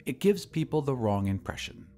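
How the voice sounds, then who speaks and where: A man's voice: medium pitch, very animated, normal speed, warm tone, faint music behind, close to an everyday voice. A man voicing a prim, earnest woman who speaks in his own voice.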